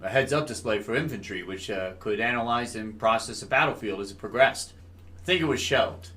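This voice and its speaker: An actor playing a guarded, conspiratorial husband with military ties in an audio drama, speaking in a hesitant, confiding way. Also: male voice, on the low side, brisk, bright, forceful tone. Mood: measured, confiding.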